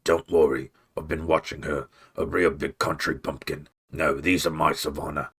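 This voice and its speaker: A man's voice: a bass voice, little pitch movement, normal speed, fairly bright tone, slightly rough, full character voice. A man voicing a menacing one-eyed male rat warlord, talking with growling contempt. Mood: scornful.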